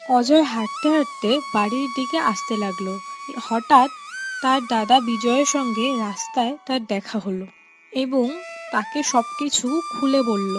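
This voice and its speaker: A woman's voice: on the high side, normal speed. A woman giving scripted story narration for a cartoon. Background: music.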